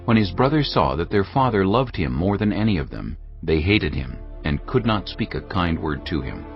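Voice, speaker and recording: Deep-voiced, expressive male scripture narrator. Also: moderate pace. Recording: dull audio over background music.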